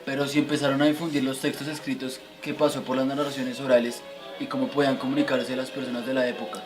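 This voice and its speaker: A man's voice: medium pitch, level tone, fast, music in the background, lightly acted. A man playing a curious young pupil, questioning in a scripted way in an amateur educational radio play. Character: curious, easily impressed young male pupil.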